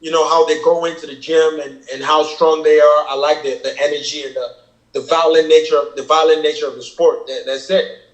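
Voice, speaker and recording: A man's voice: on the high side, little pitch movement, brisk. Man, earnest and explaining. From Cameroon. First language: Kenyang. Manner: earnest, explains at length, keeps asking "you feel me". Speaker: rapper explaining his lyrics. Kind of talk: casual reaction chat. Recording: echoey room.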